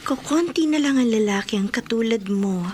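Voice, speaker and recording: A woman playing a mysterious, lonely and softly seductive admirer in a horror radio drama, speaking in a hushed, breathy way. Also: a little high, expressive, slight background noise, close to an everyday voice. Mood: soft, alluring. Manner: slow, hushed, breathy whispering delivery.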